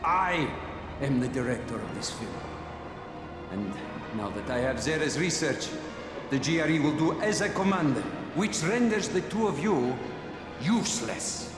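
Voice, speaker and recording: Smug theatrical warlord, echoing. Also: male voice, fairly high pitch, lively intonation, a little slow. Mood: gloating. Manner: grandiose, mocking. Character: menacing, theatrical male warlord, smug and taunting. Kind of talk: video game cutscene acting. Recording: echoey room with loud music.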